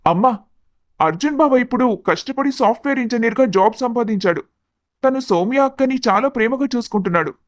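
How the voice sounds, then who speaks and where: A man acts a young adult son from an animated family melodrama. His voice is high-pitched and dry in sound, with an earnest, apologetic, explaining manner. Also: brisk, no echo. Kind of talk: animated family story acting.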